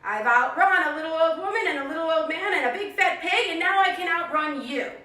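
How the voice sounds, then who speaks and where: Storybook gingerbread man played by a woman: small, cheeky, taunting, very animated delivery, recorded at a distance. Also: extremely high pitch, fairly quick, voice pushed up, exaggerated voice. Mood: boastful, taunting. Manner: sing-song, shouted taunts. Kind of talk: children's storybook read-aloud. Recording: echoey room, distant microphone.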